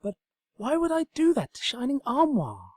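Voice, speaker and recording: A man's voice: very high voice, huge pitch swings, moderate pace, darkish tone, no room sound, voice pushed up, heavily acted. A man voicing a polite, sensible and mildly puzzled princess who is a living piece of furniture in a comic story. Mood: protesting.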